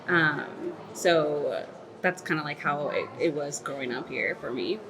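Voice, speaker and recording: A woman's voice: deep voice, unhurried, background noise. A woman in her thirties, born in Mexico and raised in Texas, talking thoughtfully in an interview with lots of "like" and "um" fillers. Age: 30s. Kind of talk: candid reflective interview.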